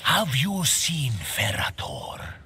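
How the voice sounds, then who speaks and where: An actor voicing a greedy, menacing demonic tax collector in a video game, with a rasping, sneering, formal delivery. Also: male voice, a little high, wildly swooping pitch, slow, bright, forceful tone, slight background noise, heavily acted. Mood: cold menace. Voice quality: gravelly, rasping.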